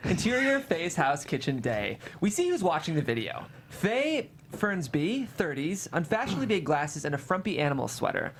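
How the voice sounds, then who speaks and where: A host narrating a screenplay's stage directions at a table read, in an expressive, dramatic way. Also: mid-range voice, normal speed, few pauses. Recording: faint background room noise.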